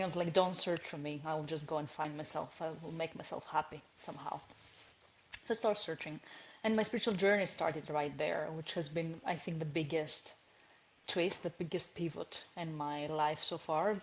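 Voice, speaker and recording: A woman in her thirties from Greece, speaking as a podcast guest who tells her story in an animated way, with frequent restarts and light laughs. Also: lowish voice. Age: 30s. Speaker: guest, entrepreneur. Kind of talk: conversational podcast interview.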